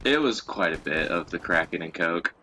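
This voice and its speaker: Deep-voiced young man, bright and crude. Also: expressive, moderate pace, a little hiss or hum. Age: young adult. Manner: crude, profanity-laden. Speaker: co-host. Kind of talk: casual chat, storytelling.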